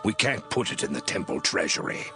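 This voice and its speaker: An actor playing a stern, grave chief priest in a scripture audio drama, with a gravelly voice. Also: male voice, low voice, big pitch swings, a little fast, music in the background, heavily acted.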